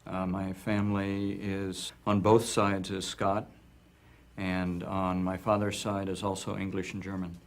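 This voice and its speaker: A man speaking in a group discussion, slow, hesitant and confessional. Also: low-pitched, completely flat delivery.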